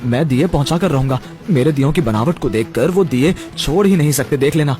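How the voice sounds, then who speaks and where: A man's voice: on the high side, a little fast, mellow, lightly acted. A man playing a poor, earnest village potter in a Hindi cartoon story, speaking in a theatrical, emphatic way. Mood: determined. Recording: background music throughout.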